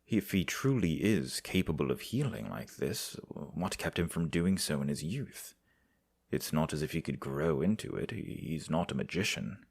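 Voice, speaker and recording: Very deep, gravelly, perplexed physician. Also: male voice, animated, no echo, studio quality, full character voice. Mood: baffled, awed. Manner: formal, measured, puzzled. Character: perplexed, learned male physician tending a prince. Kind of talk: fantasy audio drama acting.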